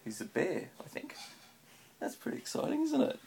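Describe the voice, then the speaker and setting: A man's voice: high-pitched, huge pitch swings, moderate pace, gravelly, a little mellow, in a whisper. A man reading a children's story aloud to a child as a father, chatting in between with encouraging questions, recorded on a handheld phone. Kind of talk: read-aloud storytelling with casual chat to a child. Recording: faint background noise, home phone recording.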